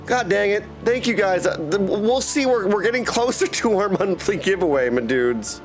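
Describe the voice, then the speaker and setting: Male streamer, excitable and joking, high pitch with big swings, casual reactions over faint music. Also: moderate pace. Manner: excitable, jokey, bursts of exclamation. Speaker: game streamer. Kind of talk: casual live game commentary.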